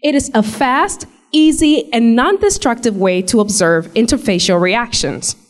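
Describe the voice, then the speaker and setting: A young woman giving a measured, explanatory research pitch into a handheld mic in a large hall. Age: young adult. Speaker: graduate student pitching research. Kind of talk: memorized elevator pitch. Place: large hall with glass walls, indoors. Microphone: handheld microphone.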